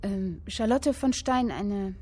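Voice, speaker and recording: An actress playing a woman who reads a literary tale aloud in a measured, expressive storytelling manner, in a radio drama. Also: mid-range voice. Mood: measured, intent. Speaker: narrator reading a literary account. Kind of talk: dramatic literary narration in present tense.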